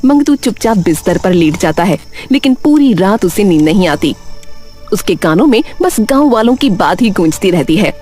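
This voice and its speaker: Lively woman narrating a story. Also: medium pitch, normal speed. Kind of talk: scripted story narration in Hindi. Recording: faint music behind the voice.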